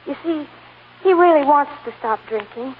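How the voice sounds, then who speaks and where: A woman's voice: sits high, lively intonation, normal speed. A woman playing an anxious, devoted young film star, tender and hesitant, in a 1940s radio drama. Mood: anxious, hopeful. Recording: narrow-band noisy 1940s radio recording.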